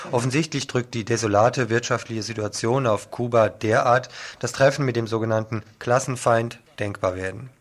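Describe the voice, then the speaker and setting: A man presenting scripted news on the radio, reading out headlines and reports as the host. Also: medium pitch.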